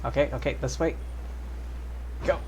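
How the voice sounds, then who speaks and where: Casual male game streamer, mid-pitched. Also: moderate pace, noisy background. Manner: muttering reactions, repeats short phrases. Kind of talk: casual live gameplay commentary.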